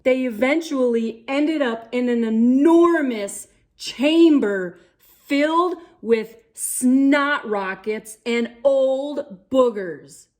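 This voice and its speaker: A woman with a high voice reads a children's story aloud. Her pace is slow and deliberate, and her delivery is theatrical, shaped for young listeners. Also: darkish tone. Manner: theatrical, deliberate delivery with dramatic gasps and exclamations. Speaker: storyteller, channel host.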